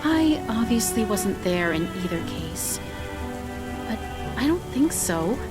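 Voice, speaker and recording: A woman's voice: mid-range voice, very animated, slow. A woman playing a worried mother in an audio drama, speaking in an earnest, anxious manner. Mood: sombre, explaining. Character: worried mother of a teenage girl. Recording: music behind the voice.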